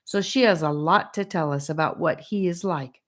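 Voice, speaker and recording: A woman voicing a serious, wise male guardian who speaks calmly. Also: low voice, moderate pace, natural voice. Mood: earnest. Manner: calm and serious.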